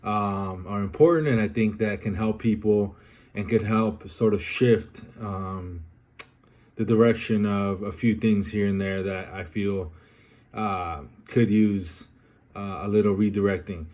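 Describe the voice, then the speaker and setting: Hesitant, reflective man, slightly low voice. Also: steady tone, a little mellow. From Colombia. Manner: unhurried, pauses often with ums and uhs. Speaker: vlogger. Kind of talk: personal reflective monologue.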